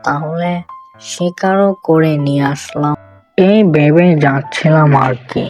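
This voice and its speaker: A woman's voice: sits low, measured pace, darkish tone, faint music behind. A woman voicing a sly, deferential fox with a sheepish way of talking in a cartoon. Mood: casual.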